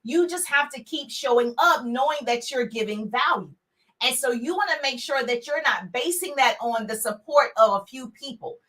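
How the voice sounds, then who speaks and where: High bright big-voiced woman coach. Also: moderate pace. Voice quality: big, full voice. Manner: energetic, preachy, talks straight to viewers with repeated calls to share. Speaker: motivational speaker and business coach. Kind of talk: live-stream motivational coaching talk.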